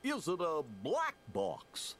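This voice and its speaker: A man's voice: a little high, huge pitch swings, slow pace, a bit bright, slight background noise, full character voice. A man voicing a goofy, good-natured cartoon dog sailor in a video game. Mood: earnest, alarmed. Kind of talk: cartoon game character acting.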